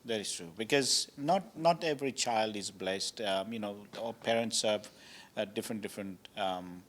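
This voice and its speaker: A man's voice: on the low side, moderate pace. A man born in Zambia, a hotel owner, answering interview questions politely with many hesitations.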